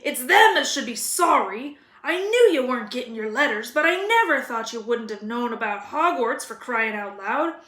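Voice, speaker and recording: A woman voicing a gruff, hearty giant man with booming rustic speech in a storybook read-aloud. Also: expressive, moderate pace, few pauses, sharp tone. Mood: outraged. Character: gruff, hearty giant man, friendly but quick-tempered. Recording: roomy echo.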